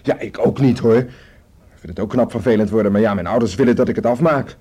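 A young student in a radio drama, courteous yet frank. His voice is dark and slightly husky, with a plain-spoken delivery. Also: on the low side, fast. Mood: reluctant. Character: young male student, polite but frank. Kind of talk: Dutch radio play acting.

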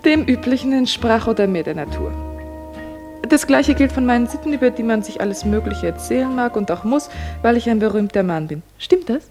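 An actress playing a young, deferential yet cheeky secretary in a German radio play. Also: female voice, fairly high pitch, lively intonation, a little fast, music in the background, lightly acted. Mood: reciting.

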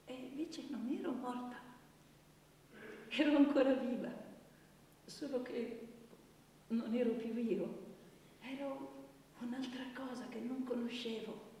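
Wounded young woman in echoing hall. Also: a little high. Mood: anguished. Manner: halting, intense, dropping to hushed tones. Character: wounded young woman testifying about childhood abuse. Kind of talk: theatrical radio drama monologue. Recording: distant, echoey hall with faint noise.

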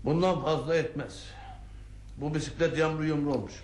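A man's voice: fairly high pitch, steady tone, a little mellow, slight background noise, natural voice. Echoey man acting polite junk dealer. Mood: haggling, doubtful. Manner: deferential, drawn-out street calls, hesitant bargaining. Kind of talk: radio theatre acting.

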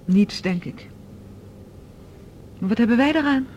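An actor a radio play playing a worried, questioning companion on a fleeing spaceship. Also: male voice, very high-pitched, animated, a little slow, darkish tone. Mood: quiet doubt. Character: anxious companion of a fugitive space professor. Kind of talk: Dutch radio drama acting. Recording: lightly noisy old radio drama.

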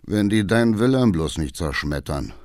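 A man's voice: on the low side, sing-song, moderate pace, dry recording. A male narrator acts out a rebellious schoolboy in a dramatic audiobook. He sounds sullen, bitter and stubbornly defiant as he talks back, recorded dry and close. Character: sullen, defiant teenage schoolboy son rebelling against father.

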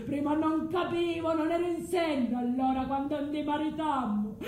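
Lady acting as betrayed husband raging in a tragic play, high wailing declamation, roomy sound. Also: steady tone, moderate pace, darkish tone, natural voice. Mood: outraged, bitter. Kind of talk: theatrical tragic monologue. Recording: roomy stage, faint background noise.

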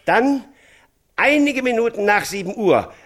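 An actor playing a newspaper reporter who gives a careful, solemn eyewitness account in a radio drama. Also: male voice, very high-pitched, expressive, a little slow, a bit bright, full character voice.

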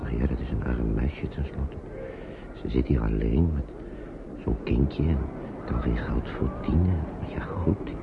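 An actor playing a worried, kindly uncle who hesitates and repeats himself in an old radio play. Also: male voice, rumbling low, gravelly, exaggerated voice. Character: worried kindly man, an uncle minding children. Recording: dull noisy old radio recording.

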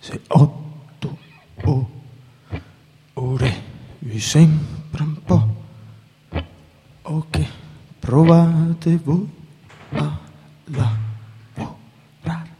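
A man playing a weary, challenging worker in a slow theatrical refrain. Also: a little high, steady tone, dark tone, slightly rough, full character voice. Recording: distant, long reverb, faint noise.

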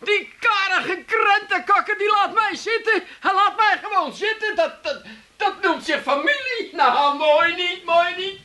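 A man's voice: very high-pitched, lively intonation, a little slow, bright, forceful tone, full character voice. An actor playing a theatrical, self-pitying man of the family, plaintive in a comic radio drama. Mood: wailing, indignant.

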